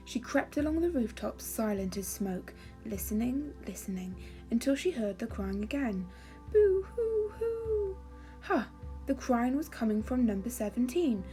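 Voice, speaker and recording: A woman storyteller giving a calming, expressive, measured reading of a children's fairy story. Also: on the high side.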